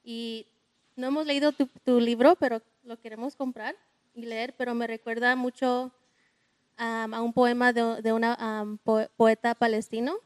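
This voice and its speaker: A Mexican-American woman speaks up from the audience in careful, halting Spanish, drawing on her English. Her voice is fairly high and flat. It sounds dry and close. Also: clean tone, no echo. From United States. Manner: hesitant, searching for words. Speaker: audience member. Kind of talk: audience comment at a book talk.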